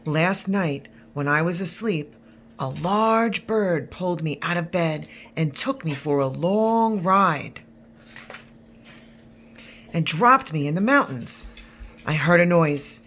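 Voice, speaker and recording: A woman's voice: lowish voice, lively intonation, slow pace, fairly bright tone, slight background noise. A woman voicing an unflappable grandpa who tells a tall tale in a storybook. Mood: excited storytelling.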